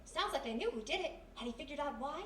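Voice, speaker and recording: In a roomy live space with faint noise, an actress plays a spirited girl sidekick from a radio mystery. Her voice is high and quick, with wisecracking nerve. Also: expressive, a bit bright, distant mic. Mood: curious. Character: plucky, quick-witted young female detective's sidekick. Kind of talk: live old-time radio mystery acting.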